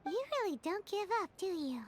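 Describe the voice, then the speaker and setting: A woman voicing a tiny, blunt, childlike fairy companion in an anime-style video game, with a squeaky, pert delivery. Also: expressive, fairly quick, slight background noise. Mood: exasperated. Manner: speaks of herself in third person, blunt.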